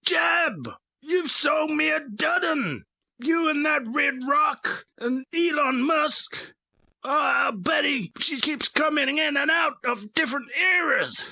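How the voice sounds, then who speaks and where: A woman playing a frantic, exasperated, melodramatic customer in comedy radio theater, exclaiming loudly and drawing out her words. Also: high voice, creaky, heavily acted. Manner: shouting, drawn-out, exclaiming.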